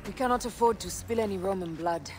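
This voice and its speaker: Resolute female warrior for a game woman acting: terse, mid-pitched, faint music underneath. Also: fast, darkish tone, close to an everyday voice. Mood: guarded. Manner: terse, serious. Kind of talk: video game cutscene acting.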